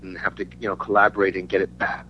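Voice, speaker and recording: A man from Denmark giving a casual interview as a musician, talking in a halting, rambling way. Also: deep voice, completely flat delivery. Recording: dull lo-fi audio with faint hum.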